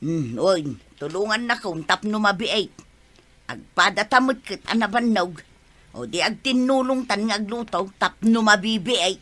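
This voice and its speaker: An actress playing an eager working village housewife with an Ilocano accent in a comedy radio drama. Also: female voice, medium pitch, animated, unhurried, a bit bright. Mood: nagging.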